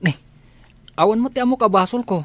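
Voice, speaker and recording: A man's voice: high voice, fairly quick, fairly bright tone, no room sound. A man with an Ilocano accent playing a meek, dutiful office employee in a radio comedy drama. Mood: defensive.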